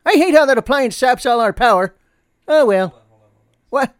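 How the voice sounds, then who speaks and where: A woman voicing an excitable, theatrical character in an audio drama. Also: on the high side, moderate pace, dry recording, voice pushed up, close to an everyday voice. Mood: gushing. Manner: gushing, over-the-top exclamations.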